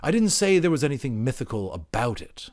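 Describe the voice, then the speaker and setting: A man performs a great sage gorilla, a patient and probing teacher from an audiobook novel. He speaks deliberately and pointedly, with a pitch that swings widely as he presses his point. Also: very animated, darkish tone, natural voice. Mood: probing, insistent. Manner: measured, deliberate, pointedly Socratic. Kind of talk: dramatic audiobook character acting.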